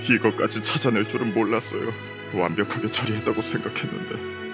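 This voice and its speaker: An actor playing a shifty, defensive shop owner in a video game, blustering and indignant. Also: male voice, lowish voice, steady tone, fairly quick, loud music behind the voice, lightly acted. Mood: defeated, bitter. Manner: stammering, flustered, indignant.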